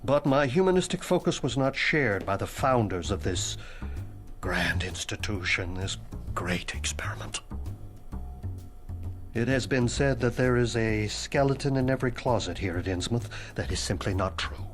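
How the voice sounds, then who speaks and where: A man voicing the ghost of a dead male school headmaster, formal and morbidly deadpan, now and then dropping into a whisper, in a video game cutscene with music behind. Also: lowish voice, moderate pace, close to an everyday voice. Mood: wry.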